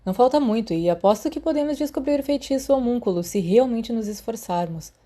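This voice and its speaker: A woman's voice: on the high side, lively intonation, fairly quick, mellow, pitched above the performer's own voice. A woman voicing an earnest, bookish and slightly defensive teenage schoolboy in an audiobook, with an understated manner.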